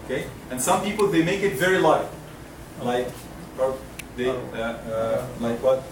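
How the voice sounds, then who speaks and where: Man teaching from across an echoey classroom. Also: mid-range voice. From Egypt. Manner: patient, repeats sounds slowly for students to echo. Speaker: Quran recitation teacher. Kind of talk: classroom lesson with call-and-response drills. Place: lecture classroom. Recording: roomy classroom, mic far off, noisy.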